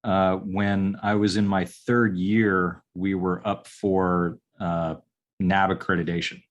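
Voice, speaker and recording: Low-voiced man answering interview questions. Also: little pitch movement, highs cut off. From upstate New York. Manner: halting, many ums and restarts. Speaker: architect, podcast guest.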